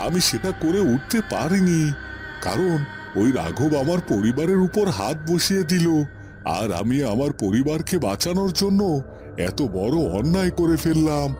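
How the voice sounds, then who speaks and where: A guilt-ridden cartoon loyalist, voiced by a man, lamenting in a fairly high voice that rises and falls widely. He pauses dramatically over faint music. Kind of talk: Bengali cartoon voice acting.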